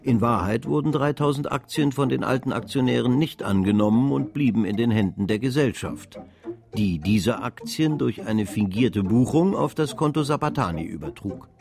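Casting: male narrator, medium-pitched, measured and matter-of-fact, scripted radio drama storytelling. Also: expressive, creaky, darkish tone, soft background music.

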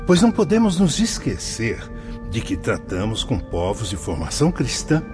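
A man's voice: medium pitch, huge pitch swings. An actor in a radio drama playing a kindly, solemn spirit mentor who speaks in a formal, fatherly, preaching way. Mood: tender, fervent. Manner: measured, paternal, preacherly phrasing. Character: kindly solemn spirit mentor, brother Anselmo, paternal guide. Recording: music behind the voice.

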